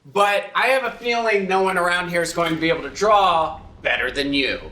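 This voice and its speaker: A man playing a tabletop roleplaying game, talking casually and in a rambling, animated way. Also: high-pitched, brisk. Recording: roomy, distant mic, faint noise.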